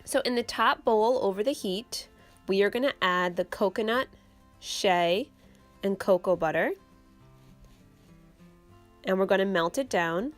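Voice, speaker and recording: A young woman hosting her own channel, explaining a homemade recipe step by step in a relaxed, casual way from her kitchen. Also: medium pitch, measured pace, pauses often, soft background music. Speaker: channel host. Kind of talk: casual how-to vlog. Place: home kitchen.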